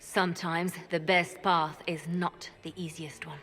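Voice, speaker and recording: An actress voicing a shrewd, ruthless female spymaster in a video game, with a measured, soft-spoken delivery. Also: on the low side, flat delivery. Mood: cool. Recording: game dialogue over music.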